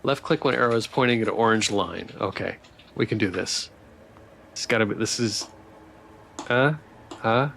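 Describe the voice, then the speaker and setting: A man's voice: lowish voice, normal speed, vocal fry, long pauses, fairly bright tone, slight background noise. A man streaming a visual novel, reading its text aloud with playful, theatrical commentary and joking asides.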